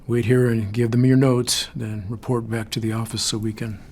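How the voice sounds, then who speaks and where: An actor playing a stern, measured male superior officer in an audio drama, firm but reluctant. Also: mid-range voice, level tone, darkish tone, natural voice. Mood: firm, regretful. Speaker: boss of an agent. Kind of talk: audio fiction drama acting.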